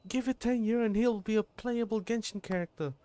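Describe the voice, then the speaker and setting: A woman's voice: a little high, fairly quick, no room sound. Woman streamer joking around reacting to a game, dry close mic. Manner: joking, excitable. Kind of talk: casual reaction commentary.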